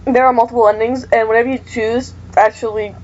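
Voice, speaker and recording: A woman's voice: fairly high pitch, level tone, fairly quick, a little mellow, dull audio, a little hiss or hum. A woman hosting a casual let's-play chat, apologetic and chatty, still getting over a cough. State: recovering from a cough. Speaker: gaming channel host.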